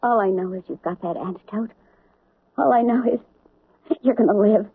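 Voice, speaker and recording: An actress playing a fiercely protective wife who speaks defiantly and emphatically. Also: female voice, mid-range voice, normal speed, a little hiss or hum, lightly acted. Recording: muffled old radio broadcast.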